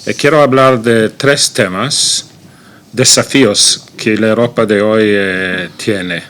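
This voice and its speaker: A man with an Italian accent, speaking Spanish as a second language, gives an academic seminar talk in a halting way, with frequent pauses and fillers. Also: on the low side, fairly even intonation, fairly bright tone. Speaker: guest speaker.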